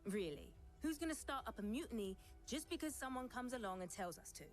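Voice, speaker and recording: A woman's voice: fairly high pitch, lively intonation, brisk, faint music behind, close to an everyday voice. A woman voicing an upbeat, loyal young crewmate in an anime-style video game. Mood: friendly, teasing.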